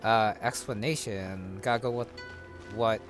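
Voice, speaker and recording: A man's voice: on the low side, steady tone, unhurried, soft background music. A man giving casual gameplay commentary, musing and thinking aloud. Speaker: gamer.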